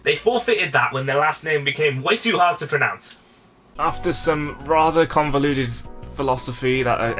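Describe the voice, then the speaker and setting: Male video game reviewer, slightly higher than average, very lively intonation, chatty and mock-exasperated. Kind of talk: casual, comedic review narration.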